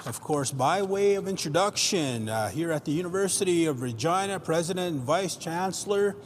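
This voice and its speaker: A man with a slightly raised voice introduces guests at a graduation ceremony, earnest and halting, full of fillers. The sound has a long reverb tail and a faint hiss of noise behind it. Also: a little high, expressive. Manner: unscripted, many fillers, earnest, praising. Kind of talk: spoken introduction at a virtual convocation.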